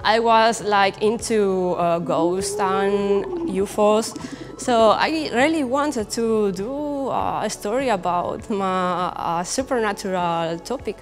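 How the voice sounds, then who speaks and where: A young woman from Spain with a Spanish accent, answering interview questions casually and haltingly as she searches for words. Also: medium pitch, long reverb tail. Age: young adult. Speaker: comic creator being interviewed. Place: indoor brick-walled studio set. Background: music.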